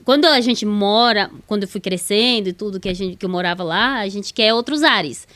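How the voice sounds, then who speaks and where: A woman's voice: medium pitch, a little fast, fairly bright tone, no echo. A woman from Bahia, a slightly shy podcast guest telling her story and laughing easily. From Bahia, Brazil. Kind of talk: casual testimony interview.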